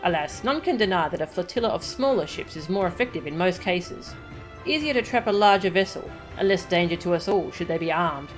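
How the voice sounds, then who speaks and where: A woman's voice: on the low side, expressive, fairly quick, soft background music, lightly acted. A woman reading the lines of a male pirate sailor who reminisces about an old ship. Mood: reminiscing.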